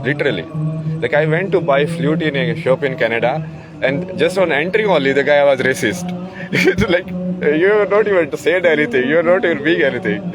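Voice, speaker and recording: A man's voice: fairly high pitch, a little fast, heavy background noise. Young Indian man, rambling and laughing. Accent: Indian English. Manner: rambling, fillers of "like", frequent laughter. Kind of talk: casual conversation.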